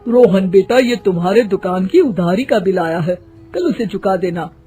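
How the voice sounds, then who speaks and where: A man playing a shrewd, experienced elderly father and shopkeeper in a cartoon story, measured and paternal. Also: high-pitched, dark tone, faint music behind, natural voice. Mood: firm.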